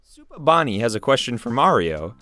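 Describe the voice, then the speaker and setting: A man's voice: mid-range voice, big pitch swings, brisk, bright, forceful tone. A man reading out fan questions as the announcer of a cartoon question show. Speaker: question reader.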